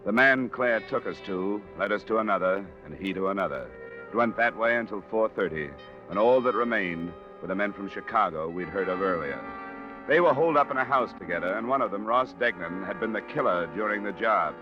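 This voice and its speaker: A man playing a low-pitched, hard-boiled American investigator in an old radio noir. His delivery is terse and matter-of-fact, on a muffled recording with prominent music. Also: lively intonation, fairly quick, lightly acted. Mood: dry, matter-of-fact. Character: hard-boiled freelance male insurance investigator. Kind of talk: 1950s radio noir narration and dialogue.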